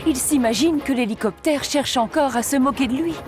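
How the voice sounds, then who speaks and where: A woman's voice: high voice, brisk. A woman narrator reading scripted storytelling narration for a children's cartoon in French. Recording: music behind the voice.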